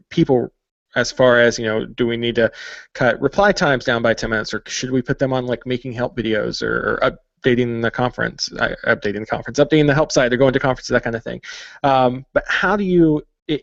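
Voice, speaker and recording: A man's voice: medium pitch, no room sound, dull audio. A man hosting a podcast panel, asking long rambling questions with restarts. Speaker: podcast host.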